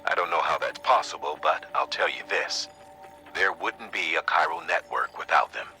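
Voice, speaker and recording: An actor playing an earnest, grave official in a video game, explaining things in a measured, conversational, persuasive way. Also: male voice, low-pitched, animated, pushed and bright, soft background music, full character voice, poor audio. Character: grave male second-in-command briefing an agent, earnest, folksy. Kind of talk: dramatic video game exposition.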